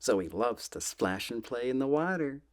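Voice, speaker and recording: A soft-spoken man reading children's books aloud in a gentle, playful ASMR style, often dropping close to a whisper. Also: medium pitch, very animated. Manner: gentle, drawn-out, playful storybook delivery.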